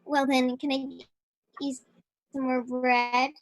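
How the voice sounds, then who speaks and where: A young-sounding actress playing a kindly, motherly baker in a live radio drama, gentle and coaxing. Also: sits high, flat delivery, slow, dry recording. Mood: pleased, modest. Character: kindly, motherly woman baker nursing a patient.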